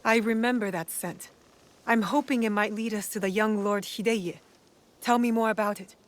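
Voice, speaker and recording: An actress playing a calm, resolute young woman investigator in a video game drama, speaking in a measured, firm way. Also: mid-range voice, natural voice. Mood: measured, probing. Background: background music.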